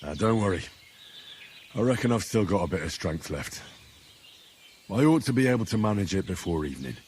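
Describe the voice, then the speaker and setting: An actor playing an earnest, plain-spoken young commoner adventurer in video game dialogue. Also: male voice, sits low, animated, a little fast, a little mellow, a little hiss or hum. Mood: reassuring. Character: earnest young commoner man, wounded adventurer, polite and willing.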